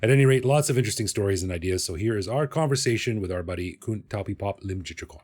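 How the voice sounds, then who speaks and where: Man, 40s, podcast host, upbeat, chatty, lowish voice. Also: lively intonation, quick pace, darkish tone, faint music behind. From Canada. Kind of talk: scripted intro and casual interview chat.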